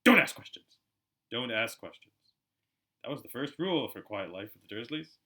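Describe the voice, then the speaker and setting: A man reading aloud as an amateur narrator, expressive and storybook-like, with a chatty side. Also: medium pitch, a little fast. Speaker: amateur audiobook reader.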